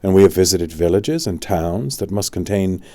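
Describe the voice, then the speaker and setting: A man's voice: low voice, lively intonation, normal speed, dark tone. A man voicing an anxious, questioning young boy, a wizard's disciple, in an audiobook story. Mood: earnest doubt. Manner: questioning, earnest, distressed.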